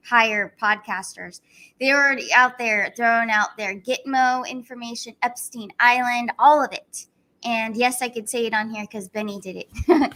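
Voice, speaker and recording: A woman host talks casually and at length, rambling from point to point with many fillers. Her voice sits a little high and sounds bright and forceful. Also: low-quality recording. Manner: chatty, rambling, frequent fillers. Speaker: channel host. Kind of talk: casual commentary.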